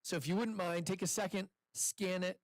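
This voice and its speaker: A man's voice: high-pitched, steady tone, normal speed, dry recording. Upbeat man hosting a live event. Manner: chatty, upbeat, loose with restarts. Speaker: event host and investor. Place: indoor event room with seated audience. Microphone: handheld microphone.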